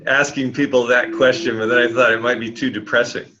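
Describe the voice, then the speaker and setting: A man's voice: medium pitch, level tone, brisk, pushed and bright. A man hosting a group conference call in a casual, informal meeting style. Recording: dull lo-fi conference call audio.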